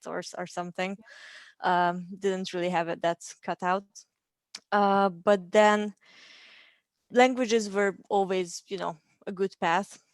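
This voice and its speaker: A woman's voice: mid-range voice, no room sound. Chatty Hungarian woman in her thirties. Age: 30s. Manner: chatty with frequent ums and laughs. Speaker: interview guest. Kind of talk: casual interview.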